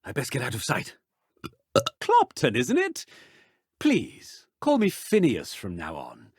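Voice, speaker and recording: A woman voicing a young witch magically disguised as a haughty wizard man, with a husky, pitched-down voice, recorded as video game dialogue. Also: huge pitch swings, slow pace, a little mellow, no echo, clean studio sound, heavily acted.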